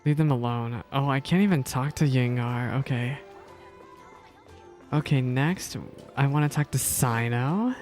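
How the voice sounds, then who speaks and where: Casual game reactor, medium pitch, repetitive exclamations, light music behind. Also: a little slow, darkish tone. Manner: informal, exclaiming and repeating words. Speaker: gaming streamer. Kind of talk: casual gameplay reaction commentary. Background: video game music.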